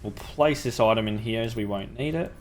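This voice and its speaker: A man's voice: fairly low pitch, brisk, a little mellow, a little hiss or hum. A young man with a sore throat, casually talking through a factory-building game as the channel's host, thinking aloud with ums. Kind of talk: gameplay commentary.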